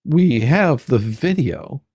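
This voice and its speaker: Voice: medium pitch, lively intonation, takes it slowly, darkish tone, dry recording. A performer playing a pushy, exasperated attorney in a dramatic reading of a deposition, badgering, sarcastic and coarse. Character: pushy, folksy, exasperated attorney questioning a witness.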